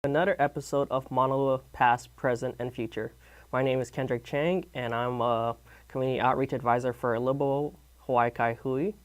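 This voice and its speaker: A man hosting a community interview show, asking questions in a friendly, halting way with many fillers. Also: mid-range voice, steady tone. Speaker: show host.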